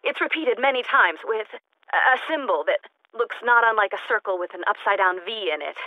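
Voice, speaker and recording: A woman's voice: medium pitch, lively intonation, brisk, no echo, narrow, tinny audio. An actress playing an eccentric, unstable woman scientist in a video game, recording lonely logs in formal, wordy, clinically detached speech through a recorder-like radio filter. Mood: restrained excitement. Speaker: video game character actor. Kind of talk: in-character audio log monologue. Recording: thin, band-limited recorder sound.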